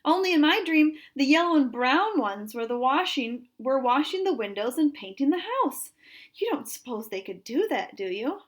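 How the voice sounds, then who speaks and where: A woman voicing an excitable, gullible little boy in a storybook, earnest and eager. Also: high voice, normal speed. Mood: wondering.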